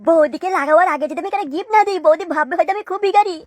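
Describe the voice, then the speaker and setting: A woman's voice: very high-pitched, rapid-fire. A woman voicing a cheeky, haggling, money-minded young boy in a comic cartoon. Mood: cheeky plotting. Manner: pert, haggling.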